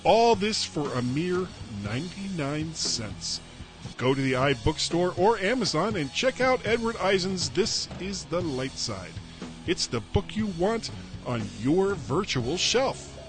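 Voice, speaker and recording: A voice reading a scripted advert as an upbeat sales pitch with swooping intonation. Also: sing-song, unhurried, over music.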